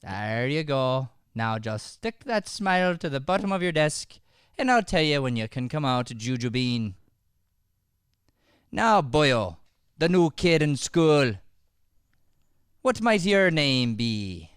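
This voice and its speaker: A man's voice: medium pitch, sing-song, takes it slowly, smooth, no echo, studio quality. A man playing a stern, pompous but forgiving schoolteacher with an Irish accent, scolding emphatically in improvised radio comedy. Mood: firm, forgiving.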